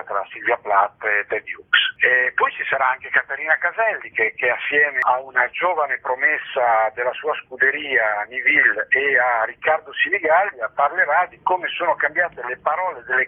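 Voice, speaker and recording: A man's voice: medium pitch, slightly rough. A man being interviewed as a festival organiser, explaining things in an earnest, reflective way and often rephrasing himself. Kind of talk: radio interview, spontaneous explanation. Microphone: phone call. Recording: band-limited telephone line on radio.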